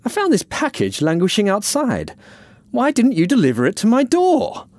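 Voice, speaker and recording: A man's voice: sits high, wildly swooping pitch, moderate pace, darkish tone, dry recording, voice pushed up. A man voicing a haughty, demanding female resident in an audiobook story. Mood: indignant. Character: haughty complaining female resident of an apartment block.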